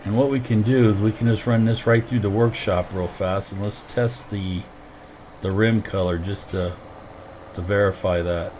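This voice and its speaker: A man's voice: lowish voice, steady tone, normal speed, darkish tone, a little hiss or hum, rough recording. A man streaming a farming game while sick and losing his voice, explaining each step as he plays into a headset. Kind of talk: casual gameplay commentary.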